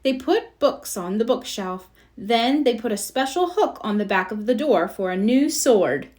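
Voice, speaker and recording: A woman narrating a children's storybook aloud in an expressive read-aloud style. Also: on the high side, measured pace. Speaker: storybook reader.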